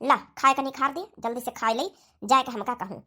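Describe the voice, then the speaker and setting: A woman's voice: sits high, brisk, sharp tone. A woman voicing a bossy, grumbling older mother-in-law in a village cartoon, with an Awadhi accent. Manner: bossy, complaining. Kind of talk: cartoon comedy dialogue in rural dialect.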